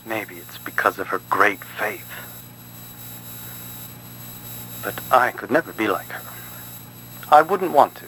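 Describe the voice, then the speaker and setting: An actor playing a measured, quietly evasive priest in a video game, speaking in a smooth, guarded way. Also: male voice, on the low side, expressive, a little hiss or hum, rough recording. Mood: reflective. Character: measured, soft-spoken male cult priest, quietly evasive.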